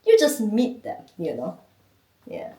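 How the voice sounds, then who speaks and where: A woman from Malaysia with a Malaysian accent, chatting as a guest in an interview, animated and often laughing. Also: mid-range voice, slow. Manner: animated, laughing, chatty with many "you know"s. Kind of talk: casual interview. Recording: echoey room, mic at a distance.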